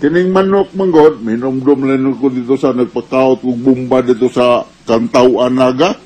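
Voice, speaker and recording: A man's voice: medium pitch, steady tone, moderate pace, darkish tone. A man with a Cebuano Filipino accent, speaking as a doctor giving informal health advice in a conversational manner, mixing in English medical terms. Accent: Filipino, Cebuano Bisaya. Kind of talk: informal medical advice talk answering listener questions.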